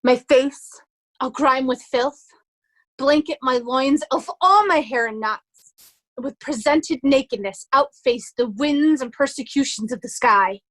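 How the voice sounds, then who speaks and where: A woman playing a hunted young nobleman in a Shakespeare soliloquy, in deliberate, measured verse. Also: high voice, a bit bright. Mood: grimly determined. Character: hunted young nobleman resolving to pose as mad beggar.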